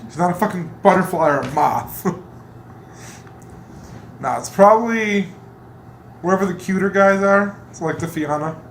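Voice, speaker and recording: A woman's voice: normal speed, long pauses. A woman playing a tabletop roleplaying game, chatting playfully about her character. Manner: playful, lively, breaks into high character voices. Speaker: player. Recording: echoey room, background hiss.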